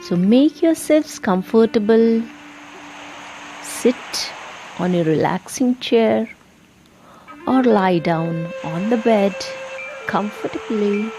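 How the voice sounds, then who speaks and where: A woman leading a guided relaxation meditation, giving soothing, gentle instructions with long pauses between phrases. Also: mid-range voice, expressive, low-quality recording. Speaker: yoga meditation guide and channel host. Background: soft instrumental music.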